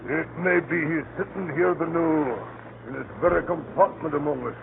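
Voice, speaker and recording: An actor playing a gruff, suspicious train traveller with a dog in a 1930s radio drama, speaking in an emphatic, ominous way. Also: male voice, fairly high pitch, normal speed, phone-quality sound. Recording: scratchy, noisy 1930s radio broadcast.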